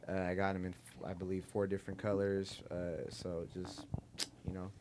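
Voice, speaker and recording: A man's voice: sits low, little pitch movement, fairly quick, a loud crowd. A man co-hosting a podcast, making casual, hesitant promotional chat. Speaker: podcast co-host.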